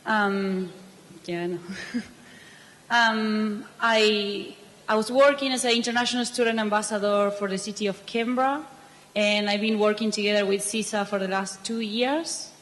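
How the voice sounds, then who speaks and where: A woman's voice: mid-range voice, fairly even intonation, moderate pace, fairly bright tone. A woman from Argentina, introducing herself casually on a panel. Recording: reverberant hall.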